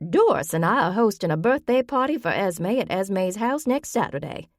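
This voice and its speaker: A woman's voice: medium pitch, sing-song, normal speed, no echo, clean studio sound, pitched above the performer's own voice, close to an everyday voice. A woman voicing a stubborn, feisty old grandmother with an emphatic, folksy way of talking in an audiobook. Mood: insistent. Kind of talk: audiobook character voice.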